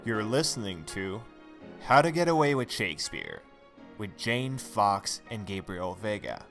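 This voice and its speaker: A man, one of the podcast's co-hosts, reading the show's intro in a slow, drawn-out announcer voice over music. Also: lowish voice, sing-song, vocal fry, exaggerated voice. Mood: announcing.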